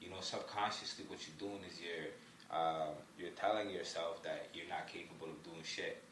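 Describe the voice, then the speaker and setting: A man's voice: low-pitched, fairly even intonation, normal speed, a bit bright, far from the mic. A man speaking casually as a podcast guest, slangy and emphatic, with motivational advice-giving delivery. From born in Somalia, lived in Ottawa, Canada. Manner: slangy, emphatic, motivational, peppered with "you know what I mean". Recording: roomy, off-mic, faint background noise.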